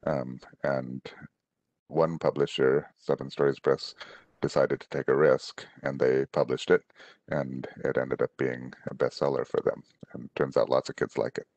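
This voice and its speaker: A middle-aged man explaining things in a casual, halting, thoughtful way as a guest author answering questions on a video call. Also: a bass voice, flat delivery, darkish tone, no echo, dull audio. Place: small room at home, indoors, on a video call. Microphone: headset on a video call.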